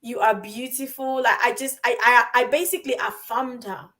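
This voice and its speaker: A high-pitched woman hosts a relaxed podcast conversation. She drives her points home by repeating short phrases with rising force, and she talks as an encouraging coach would. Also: moderate pace. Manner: emphatic, repeats phrases for stress. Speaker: podcast host. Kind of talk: casual chat about confidence.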